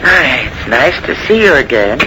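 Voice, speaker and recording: An actor playing a kindly, courteous household butler in a 1940s radio mystery, gentle and deferential. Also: male voice, mid-range voice, sing-song, normal speed, natural voice. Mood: welcoming, wistful. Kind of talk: 1940s radio drama acting. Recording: noisy old 1940s radio recording.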